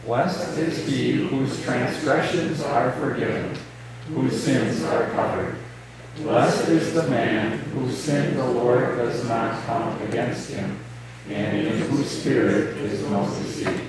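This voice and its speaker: A middle-aged man, a pastor, leading liturgy and reading scripture in a measured, solemn way. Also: mid-range voice, over a noisy crowd. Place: small church sanctuary. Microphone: distant camera, later lectern mic. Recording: echoey church from a distance.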